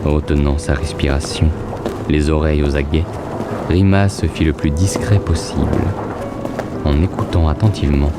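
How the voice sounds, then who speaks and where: A man's voice: rumbling low, fairly even intonation, normal speed. A man narrating a scripted fantasy audio story in a literary, dramatic storytelling manner. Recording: loud music behind. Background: loud music.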